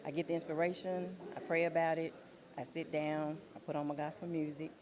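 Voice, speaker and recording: A woman giving earnest, deliberate interview answers as a director and playwright. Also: deep voice, little pitch movement, noisy background. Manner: earnest, faith-minded, deliberate.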